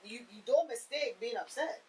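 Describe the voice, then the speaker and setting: A woman's voice: fairly high pitch, moderate pace, a little mellow. A woman explaining her views conversationally on a radio panel, earnest and emphatic, often posing rhetorical questions. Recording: faint hiss.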